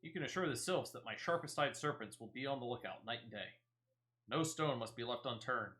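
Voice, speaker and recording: A man's voice: mid-range voice, lively intonation, brisk, bright, forceful tone. A man voicing a formal, commanding military officer in a fantasy game, with declamatory, orderly delivery. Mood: brisk, concerned. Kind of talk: video game quest dialogue read aloud.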